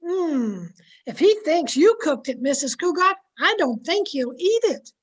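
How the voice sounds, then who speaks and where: A woman's voice: sits high, sing-song, a little slow. A woman playing a frank, loyal, chatty housemaid in an old-time radio sitcom style. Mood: attentive, dry.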